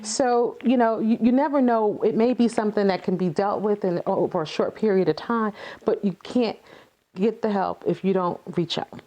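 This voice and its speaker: A woman's voice: mid-range voice, moderate pace, mellow. A woman giving earnest, encouraging conversational answers as an interview guest, repeating phrases for emphasis. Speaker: playwright and activist guest.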